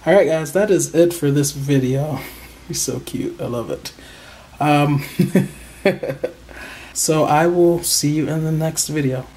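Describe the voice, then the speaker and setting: A slow-talking crochet vlogger hosting a casual show-and-tell from a table indoors. The voice is excited and proud but halting, full of ums and small pauses, with an unhurried pace throughout. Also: medium pitch. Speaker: crochet channel host.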